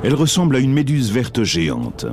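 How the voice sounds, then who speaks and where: Lively male documentary narrator over music. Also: mid-range voice, brisk.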